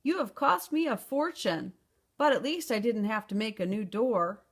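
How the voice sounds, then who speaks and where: A woman's voice: a little high, expressive, moderate pace, breathy edge. Woman voicing a blustering, easily worried storybook giant. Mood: resigned. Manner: exclaiming, protesting.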